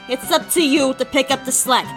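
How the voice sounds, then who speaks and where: A woman's voice: high-pitched, moderate pace, faint music behind, lightly acted. A woman voicing a bossy, demanding coffee shop manager for a fan comic dub.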